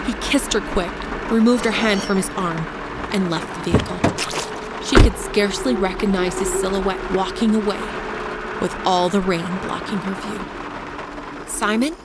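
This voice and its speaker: Woman narrating a creepy story for a podcast, medium pitch, pretty noisy background. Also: fairly even intonation, normal speed. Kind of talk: scripted narration of dark suspense story.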